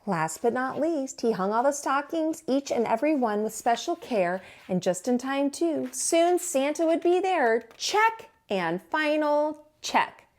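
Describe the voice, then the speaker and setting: A woman reading a picture book aloud as a teacher would at storytime, expressive, with theatrical drawn-out emphasis on words. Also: high-pitched.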